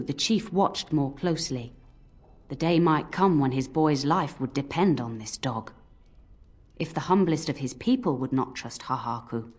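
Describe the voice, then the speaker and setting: An actress playing an old nanny in a game, scolding but fond, given to telling cautionary tales. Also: female voice, low voice. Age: older. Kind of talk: scripted game voice acting, storytelling. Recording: music under the voice.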